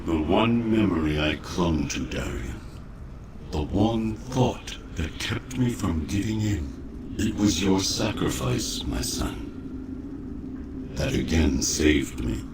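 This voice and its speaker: Casting: weary undead knight father, deep, deliberate and dramatic, for a fantasy game. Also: expressive. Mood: anguished. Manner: grave, halting, dramatic. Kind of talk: fantasy game cutscene acting. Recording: noisy game ambience.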